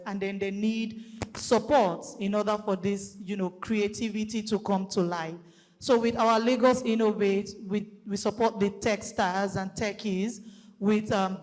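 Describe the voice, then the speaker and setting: A Nigerian woman speaking at a conference, loud-voiced and methodical as she explains programs with light fillers. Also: mid-range voice. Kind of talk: public presentation. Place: conference hall. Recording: long hall reverb.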